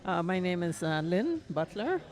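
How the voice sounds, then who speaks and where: A woman from Guyana, excited, answering an interviewer's questions before a play. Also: on the low side, normal speed. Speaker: theatregoer being interviewed.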